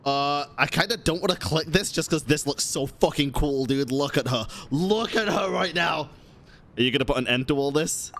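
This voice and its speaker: A game streamer giving casual live reactions, excitable, exclamatory and quick to swear. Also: medium pitch. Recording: loud game music behind. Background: video game music.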